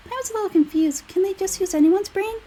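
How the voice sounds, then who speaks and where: A woman voicing a squeaky, childlike fairy companion from a video game, curious, blunt and exclamatory. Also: fast talker, warm tone. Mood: curious, puzzled. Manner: exclamatory, talks in third person. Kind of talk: video game character dialogue read aloud.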